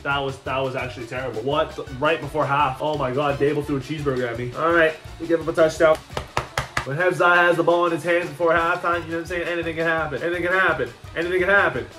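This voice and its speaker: A young man with a fairly high voice reacts loudly to his own video game football plays, hyped and joking, chanting lines over and over. He sounds a little far from the mic in a roomy space. Soft music plays underneath. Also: fairly even intonation, fast. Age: young adult. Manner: hyped, playful, repeats chants, trash talk. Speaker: gaming YouTuber. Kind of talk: excited gameplay commentary. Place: small room indoors. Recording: roomy, slightly distant, faint music.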